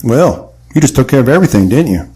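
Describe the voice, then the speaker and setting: A man's voice: medium pitch, very animated, quick pace, mellow, natural voice. A man playing a dutiful marksman in an audio drama, earnest and matter-of-fact as he acts and narrates. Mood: puzzled. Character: Delta, steady dutiful male marksman narrating his own adventure. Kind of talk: audio drama acting and first-person narration.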